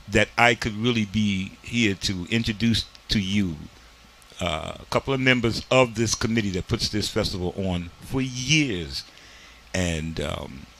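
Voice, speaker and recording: A man's voice: on the low side, vocal fry, a bit bright. A man hosting a community TV interview in a studio, talking in an emphatic, preachy way. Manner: preachy, emphatic, uses "this here". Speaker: community TV host. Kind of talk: casual interview and commentary.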